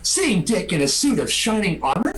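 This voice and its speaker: A woman reading a 1940s radio play part on a video call, mock-proper and deadpan. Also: medium pitch, normal speed. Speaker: cast member in a comedic radio play reading. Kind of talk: 1940s radio acting with crude jokes.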